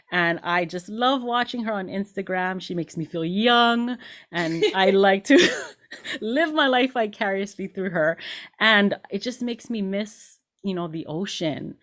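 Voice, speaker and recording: A woman's voice: mid-range voice, a bit bright. A woman hosting an interview show, introducing her guest effusively and laughing along. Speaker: host.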